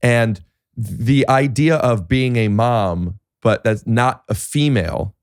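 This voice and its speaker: A man hosting a podcast in casual conversation, talking in halting stops and restarts with many fillers. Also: mid-range voice, no room sound, studio quality. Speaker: podcast host.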